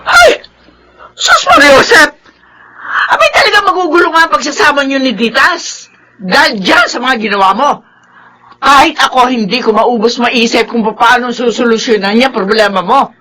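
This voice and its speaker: A woman's voice: high voice, very animated, normal speed, pushed and bright, slight background noise, full character voice, poor audio. An actress playing an agitated, scolding older mother in a Filipino radio drama, exclaiming with a Filipino accent. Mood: shocked, scolding. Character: scandalized, scolding older mother of a married man.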